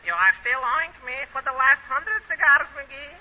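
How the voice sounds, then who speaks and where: An actor playing a dry, wisecracking cigar store shopkeeper in a 1930s radio comedy, delivering deadpan jokes in dialect phrasing. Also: male voice, very high voice, moderate pace. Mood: dry, joking. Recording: muffled, noisy 1930s radio broadcast.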